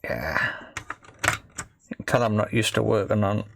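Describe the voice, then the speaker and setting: A man's voice: fairly low pitch, measured pace, creaky. A man giving casual, tentative and self-deprecating commentary as he does a hands-on repair, muttering his thoughts aloud. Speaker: amateur repair video maker. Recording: faint background noise with workbench clicks.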